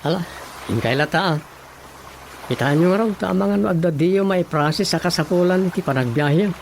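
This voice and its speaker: A man's voice: sits high, moderate pace, a little hiss or hum, low-quality recording. A man with an Ilocano accent acting in a Filipino radio drama as a village man. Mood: concerned. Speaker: radio drama narrator and actor. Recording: music bed behind the voice.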